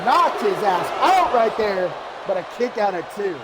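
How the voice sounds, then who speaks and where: A man calling a wrestling match as play-by-play commentator, excitable and shouting at big moves, his voice going hoarse. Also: sits high, measured pace, over a noisy crowd, very noisy. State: losing his voice. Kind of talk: live streamed commentary.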